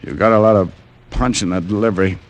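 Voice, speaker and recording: An actor playing a cynical, wisecracking hard-boiled private detective in an old-time radio drama, with a wry, dry delivery. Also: male voice, on the low side, steady tone, fast, highs cut off, noisy background, lightly acted. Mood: sardonic. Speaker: lead actor and narrator.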